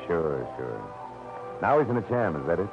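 A tired hardboiled detective, deep-ish voice, thin phone-like old radio sound, music behind. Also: male voice, big pitch swings, normal speed. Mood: terse. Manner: dry, world-weary, terse. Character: hardboiled male private detective narrating. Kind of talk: 1940s radio acting.

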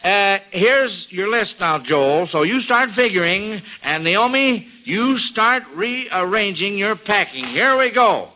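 Bright, forceful American radio quizmaster, a man. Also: high-pitched, animated, slow, hardly any pauses, a little hiss or hum, low-quality recording. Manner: folksy, chatty patter addressed to kids and listeners. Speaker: quiz show host. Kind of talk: classic mid-century radio hosting, part scripted and part ad-libbed.

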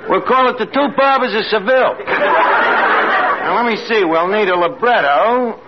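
Brash, high-pitched New York wiseguy. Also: male voice, a little slow. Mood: self-important. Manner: mangles grammar, blustering, boastful. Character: brash, ignorant, self-important tavern manager, a man. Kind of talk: 1940s radio comedy acting. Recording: old noisy radio recording, muffled, audience crowd.

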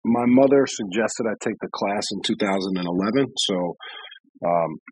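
A man who works as a referee, a guest in a casual interview, explaining things in a measured way. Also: fairly low pitch, darkish tone, husky, no echo, rough recording.

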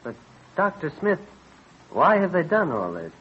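An excitable, modest colonial inventor in an old radio play. His pitch moves in lively sweeps as he explains things eagerly. The voice is a little husky, heard through a dull vintage recording. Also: unhurried, exaggerated voice. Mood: humble surprise. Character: earnest, excitable colonial American inventor and clockmaker. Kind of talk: old-time radio drama acting.

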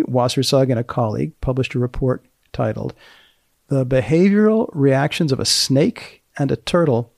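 A man's voice: medium pitch, creaky, darkish tone, no echo, clean studio sound. A man hosting a podcast, giving scripted narration that introduces research studies. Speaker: podcast host.

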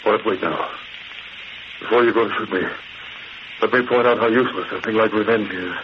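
Guy playing fat sardonic gangster boss, lowish voice, muffled noisy 40s radio show. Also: steady tone, natural voice. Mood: smooth, wheedling. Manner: mock-polite, sardonic, unhurried threats. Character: fat, smooth-talking older male crime boss, menacing and wheedling. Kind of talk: 1940s radio drama acting.

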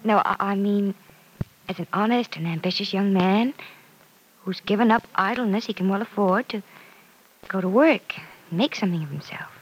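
Actress as lovestruck young woman, husky voice, hesitant, earnest, wistful, old-time radio drama. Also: medium pitch, lightly acted, rough recording. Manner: hesitant, halting confessions. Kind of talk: mid-century radio soap acting.

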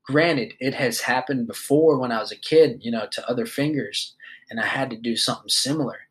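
A young man in his twenties talks straight to his listeners in a loose, rambling monologue. He swears freely and fills his pauses with "you know" and "um". His voice sits at a middling pitch. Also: a bit bright, low-quality recording. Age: 20s. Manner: loose and rambling, swears often, many fillers. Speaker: podcast host. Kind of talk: casual monologue.

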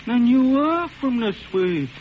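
Casting: very high-voiced American hoodlum, excitable, slangy gang lookout, noisy vintage radio recording. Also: male voice, unhurried, heavily acted. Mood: suspicious. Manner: slangy, streetwise gangster talk. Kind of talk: 1940s radio acting. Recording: dull, hissy old radio recording.

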